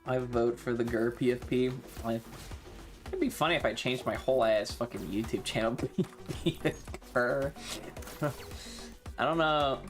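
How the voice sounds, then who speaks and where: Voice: medium pitch, normal speed, long pauses, faint music behind. A streamer with an androgynous-sounding voice, chatting casually during a live game in a slangy, sweary, self-mocking way.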